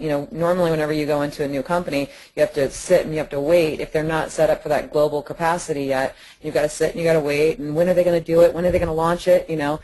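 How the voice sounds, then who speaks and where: A man's voice: a little high, a little mellow, rough recording. A man co-presenting on a conference call in an informal, enthusiastic promotional style, repeating words for emphasis. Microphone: telephone conference call.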